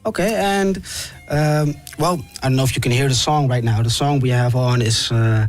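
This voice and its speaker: A man's voice: mid-range voice, moderate pace. Radio host man, easygoing. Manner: conversational, explains to listeners. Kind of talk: radio interview. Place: radio studio. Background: faint background music.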